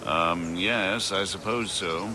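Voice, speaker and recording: Sheepish, low-voiced medieval guard. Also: male voice, pushed and bright, heavily acted. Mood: sheepish, evasive. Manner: halting, sheepish. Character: hesitant, evasive medieval gate guard. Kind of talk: video game cutscene acting.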